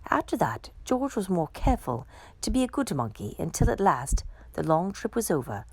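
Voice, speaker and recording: Woman storyteller, lively swooping intonation, slightly low pitch, gravelly creak, expressive picture-book read-aloud, dry close sound. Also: moderate pace, dry recording. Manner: expressive, dramatic storytelling with acted exclamations.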